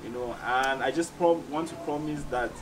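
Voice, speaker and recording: A man with a Cameroonian accent giving reflective, measured interview answers as a musician, often repeating phrases for emphasis. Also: mid-range voice. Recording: distant mic, clearly noisy background ambience.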